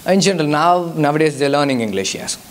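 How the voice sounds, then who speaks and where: A man's voice: on the high side, expressive, fast talker. Young Indian man, Telugu-accented English. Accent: Indian, Telugu-speaking. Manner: hesitant, many fillers and restarts. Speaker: guest speaker. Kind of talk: answering audience questions. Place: church youth service hall.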